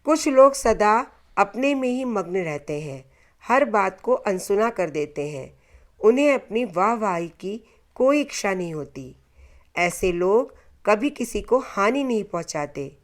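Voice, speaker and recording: A woman storyteller narrating a scripted moral folktale in short, deliberate phrases. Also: medium pitch, lively intonation.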